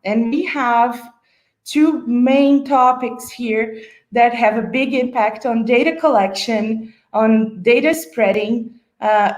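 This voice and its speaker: A woman with a fairly high voice moderates a panel, feeling her way through long questions with many pauses and fillers. Her voice carries the echo of a roomy space.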